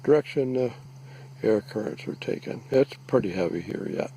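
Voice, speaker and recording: A man giving a halting, deliberate, matter-of-fact informal field narration outdoors, recorded on a handheld device. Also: mid-range voice, animated, dark tone. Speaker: lake resident surveying an algae bloom. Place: outdoors, out on a small lake. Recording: faint outdoor background noise.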